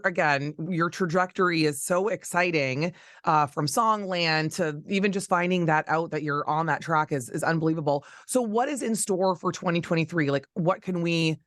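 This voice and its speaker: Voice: mid-range voice, a bit bright, no room sound, clean studio sound. A radio host doing a casual, chatty interview in an informal and gushing manner.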